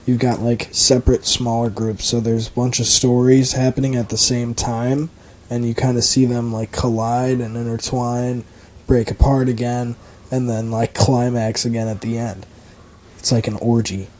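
A man's voice: mid-range voice, level tone, moderate pace, a little mellow. A man with a muffled voice, co-hosting a podcast and rambling with enthusiasm in casual chat, full of fillers. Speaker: podcast co-host.

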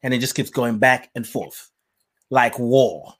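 A man's voice: mid-range voice, measured pace, a bit bright. A man who grew up in Nigeria tells his life story as a guest, reflective and laughing often. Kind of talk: personal storytelling in conversation.

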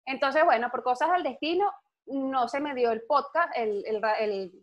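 A young Venezuelan woman with a Venezuelan accent chats as a guest, outgoing and animated as she tells her stories. Her voice sits a little high. The sound is dull and lo-fi. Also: normal speed. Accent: Venezuelan Spanish. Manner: outgoing, animated storytelling, laughs easily. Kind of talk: casual interview chat.

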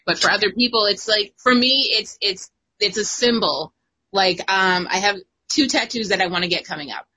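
Young woman, chatty co-host, bright tone, slightly husky, laughs a lot. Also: medium pitch, normal speed, poor audio. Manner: chatty, laughs often, many fillers. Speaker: guest co-host. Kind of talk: casual chat.

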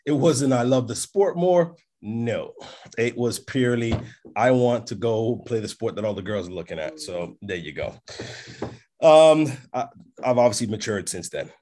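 A man's voice: medium pitch, lively intonation, moderate pace, warm tone, a little hiss or hum. Storytelling man. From North America. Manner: expansive storyteller, checks in with "right?". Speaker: podcast guest, sports agent. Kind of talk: casual interview chat.

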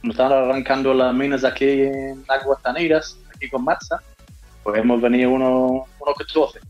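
A man's voice: mid-range voice, level tone, darkish tone, muffled recording, soft background music. Australian man with an Andalusian twist. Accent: Australian mixed with Andalusian. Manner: halting, restarts phrases often. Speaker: interview guest, motorcyclist. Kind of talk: casual interview answers.

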